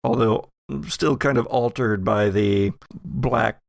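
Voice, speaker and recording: A man working as game master, narrating a horror tabletop game in a deliberate, dramatic storytelling manner. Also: fairly low pitch, vocal fry, dry recording, studio quality.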